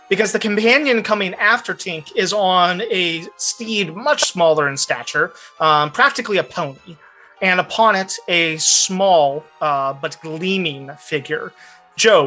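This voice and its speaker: A man narrating a tabletop fantasy game as game master, with deliberate, dramatic phrasing. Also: high-pitched, sharp tone, poor audio. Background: background music.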